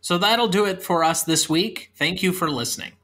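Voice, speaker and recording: A young man hosting a podcast, talking about films in earnest, explanatory chat and reading out a brisk closing script. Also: sits high, a bit bright, soft background music. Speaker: podcast host.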